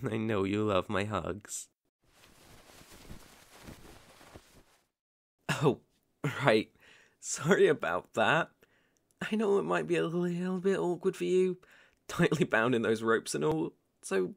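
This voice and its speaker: A man playing a possessive, lovesick boyfriend in an ASMR roleplay, cooing with gentle condescension and quiet menace, often hushed and breathy. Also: fairly high pitch, dry recording, clean studio sound, exaggerated voice. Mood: tender, possessive. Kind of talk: ASMR roleplay, dramatic monologue.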